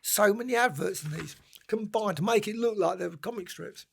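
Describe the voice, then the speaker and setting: A man's voice: high voice, brisk, gravelly, husky. A man giving a casual, unscripted review commentary, musing and rambling as he goes and often trailing off. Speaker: book and comic reviewer.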